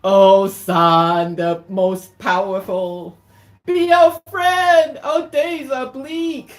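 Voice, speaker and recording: Desperate storybook mice acted by a woman cry out for help. The voice climbs high and stretches the words slowly in a loud, imploring rhyme. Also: steady tone. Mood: desperate pleading. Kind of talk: picture-book read-aloud in rhyme.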